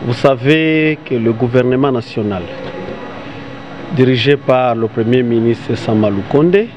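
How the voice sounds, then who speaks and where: A man with a Congolese accent giving a measured, formal interview answer as a government representative. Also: medium pitch. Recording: dull sound, clear background noise.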